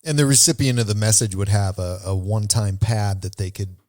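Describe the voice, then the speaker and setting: A man hosting a podcast in a casual, conversational way, with a halting, rambling delivery. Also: lowish voice, fairly even intonation, darkish tone, no echo, clean studio sound. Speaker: podcast host.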